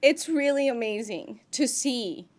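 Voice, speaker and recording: A woman who grew up in Miami, an actress guest chatting in an interview, warm and effusive, with frequent ums and restarts. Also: a little high, lively intonation, a little slow. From grew up in Miami, United States. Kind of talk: casual interview chat.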